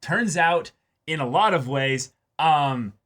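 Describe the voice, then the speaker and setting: A man in casual discussion as co-host of an anime reaction show, halting and often repeating his phrases. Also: fairly high pitch, lively intonation, fairly bright tone.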